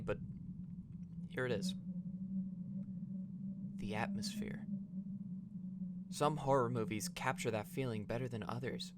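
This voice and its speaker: A man playing a young podcaster narrating a dreamy, brooding story reflectively, with deliberate dramatic pauses. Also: lowish voice, very noisy, lightly acted. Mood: confiding. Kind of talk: scripted first-person narration.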